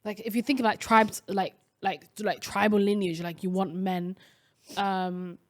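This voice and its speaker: A woman's voice: medium pitch, little pitch movement, a little slow. A young woman from Somalia co-hosting a casual podcast chat, talking in a chatty way with many fillers and restarts. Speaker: podcast co-host.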